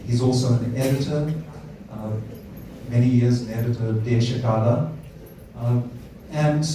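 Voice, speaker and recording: A man with a New Zealand accent moderating a literary panel, speaking haltingly with many filler sounds. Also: medium pitch. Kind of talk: hesitant spoken introduction and questioning. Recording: echoey hall, distant mic, background noise.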